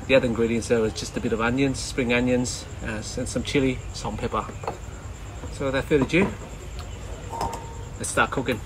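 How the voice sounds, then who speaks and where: A relaxed man, talking through a recipe in a casual, chatty way. His voice sits at an ordinary middle pitch. The camera mic picks him up outdoors, with loud background noise behind him. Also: fairly quick, pauses often. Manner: relaxed, chatty, with frequent ums. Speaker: cooking vlogger. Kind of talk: casual cooking commentary. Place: outdoor garden kitchen and rocky bay shore. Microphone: camera mic at medium distance.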